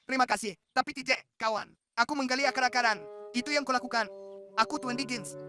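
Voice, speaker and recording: A proper little male worm in a children's cartoon. The voice is high, the manner fussy, insistent and explanatory. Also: quick pace, sharp tone, no echo, clean studio sound, soft background music. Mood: polite. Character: fussy, matter-of-fact male worm gentleman. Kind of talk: preschool cartoon dub.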